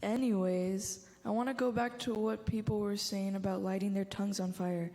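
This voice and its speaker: A woman's voice: medium pitch, fairly even intonation, moderate pace, dark tone, lightly acted. A young woman playing a skeptical, sarcastic teenage girl in a church reader's theater, recorded in an echoey hall. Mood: puzzled, skeptical. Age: teenager or young adult.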